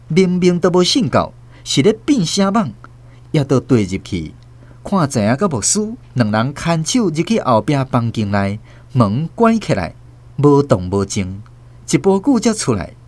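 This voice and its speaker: A man's voice: mid-range voice, big pitch swings, normal speed, darkish tone. A man with a Taiwanese accent narrating a radio drama as a scripted, dramatic storyteller.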